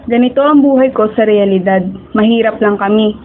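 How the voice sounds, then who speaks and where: A young woman acting as a dreamy, self-doubting high school girl who narrates her own story in a radio drama, mixing in English. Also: fairly quick, natural voice. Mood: reflective. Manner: code-switches into English. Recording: tinny, phone-like audio with hiss.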